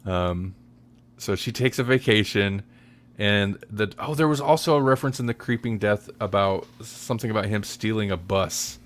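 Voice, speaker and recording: A man hosting a casual podcast chat, often halting and thinking aloud as he talks. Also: fairly low pitch. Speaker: podcast host.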